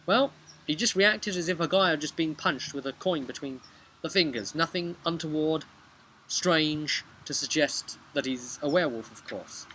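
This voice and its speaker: A man in his thirties talking casually to camera as a game master, conversational and a little halting, thinking aloud as he goes. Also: a little high, animated, sharp tone, a little hiss or hum, poor audio. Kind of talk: casual vlog recap of a role-playing session. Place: outdoors on a bench beside a brick house.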